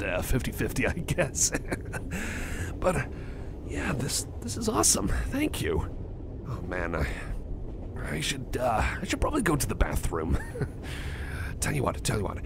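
Bashful, stammering cyborg guy, acted by a man, voice swooping. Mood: happy, flustered. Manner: shy, stammering, flustered, repeating himself. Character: bashful, kind male cyborg friend nervously confessing a crush. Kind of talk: ASMR roleplay, spoken to the listener. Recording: clear background vehicle rumble noise. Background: train ride ambience.

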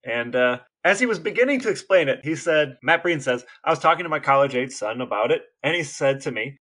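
Bright-voiced American man telling a story. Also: fairly high pitch, expressive, a little fast. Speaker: podcast co-host. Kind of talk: casual storytelling chat.

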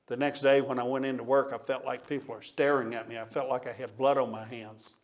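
An older man gives a keynote at a podium microphone. His voice is medium in pitch, and he tells his story in a plainspoken, confessional way. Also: flat delivery, fairly quick. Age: 60s or older. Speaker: keynote speaker. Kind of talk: storytelling public lecture. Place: auditorium stage at a lectern. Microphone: podium gooseneck microphone.

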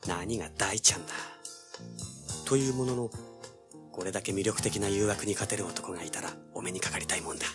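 An actor playing a cocky, hard-boiled young treasure hunter, brash and wry, in a dramatized audiobook. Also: male voice, low voice, a little fast, over music, natural voice. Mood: exasperated, wry. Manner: brash, wry, rough-spoken. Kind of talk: dramatized audiobook acting with first-person narration.

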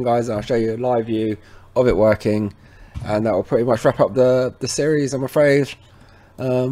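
A man presenting an informal, instructional software walkthrough, talking casually to viewers as he goes. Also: fairly low pitch. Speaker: tech tutorial presenter. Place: indoors at a computer, voice over screen recording.

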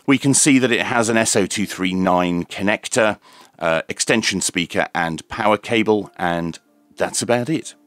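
A man presenting a scripted radio review in a deadpan, tongue-in-cheek manner. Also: sits low. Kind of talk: scripted product review narration with dry jokes.

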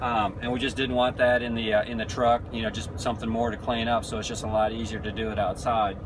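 Laid-back man talking over loud truck noise. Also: fairly low pitch, fast talker. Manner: relaxed, rambling with frequent ums and restarts. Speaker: vlogger, truck driver. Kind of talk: casual cooking vlog. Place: outdoors beside a truck at night, then inside the truck cab.

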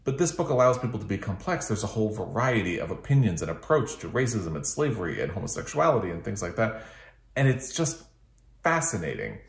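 Low-voiced man chatting in an echoey room. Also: big pitch swings, brisk, gravelly. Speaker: book reviewer, video host. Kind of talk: casual book chat to camera. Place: small living room with couch and bookshelves, indoors.

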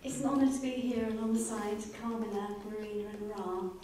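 A middle-aged woman giving a measured, earnest prepared talk as a charity speaker. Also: mid-range voice, flat delivery, mellow. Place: indoor library event space with audience. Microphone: handheld microphone. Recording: very echoey room, distant, faint noise.

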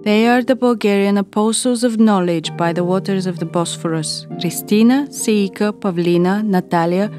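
A woman's mid-pitched voice narrates a documentary script, calm and measured, with a formal, even reading. Background music plays clearly underneath her words throughout.

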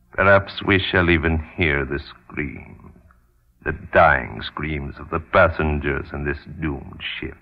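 A power-hungry sorcerer in an old radio thriller. The voice is very low, grandiose and menacing with mock courtesy, on a slightly dull vintage recording. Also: male voice, gravelly, exaggerated voice. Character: gloating, theatrical male villainous sorcerer, power-hungry master. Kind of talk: old-time radio drama acting.

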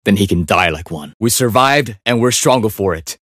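An actor playing a calm, focused young Chinese assassin in a video game, cool, clipped and politely deadly. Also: male voice, fairly low pitch, moderate pace, husky, dry recording, clean studio sound. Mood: cool, composed. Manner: cool, understated, clipped delivery. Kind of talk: video game character voice lines.

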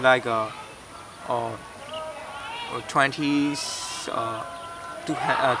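Young man, halting, mid-pitched, outdoor noise behind. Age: 20s. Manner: halting, searching for words. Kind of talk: documentary interview. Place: outdoors, garden.

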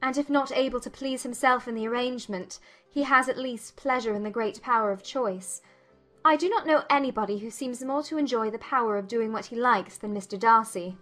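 Woman acting spirited young Regency gentlewoman, higher-pitched. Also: a little fast, close to an everyday voice. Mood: teasing, challenging. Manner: arch, playful, formal phrasing. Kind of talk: dramatized audiobook acting. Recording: music behind the voice.

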